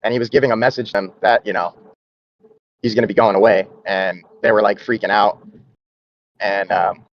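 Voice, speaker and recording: A man's voice: fairly low pitch, steady tone, normal speed, long pauses, no echo. Guy talking kinda unsure, lots of um and like, dull sound. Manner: hesitant, many fillers. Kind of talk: casual discussion.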